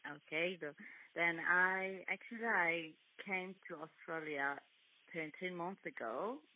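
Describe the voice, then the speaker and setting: A young woman from Iran with a Persian accent, a guest in a telephone interview, speaking with many pauses and fillers. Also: on the low side. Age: young adult. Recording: tinny phone line.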